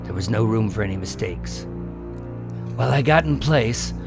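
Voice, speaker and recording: Male actor as hard-boiled undercover agent, gravelly, commanding, terse, noir crime radio play, music underneath. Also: on the low side, expressive, normal speed. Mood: brooding narration. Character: weary, calculating undercover agent in the mob, commanding and impatient. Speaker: lead actor and narrator. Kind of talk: noir crime radio drama, first-person narration.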